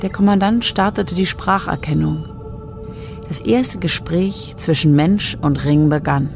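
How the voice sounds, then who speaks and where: A woman's voice: deep voice, unhurried, creaky. Controlled female radio drama narrator over music. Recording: music bed behind the voice.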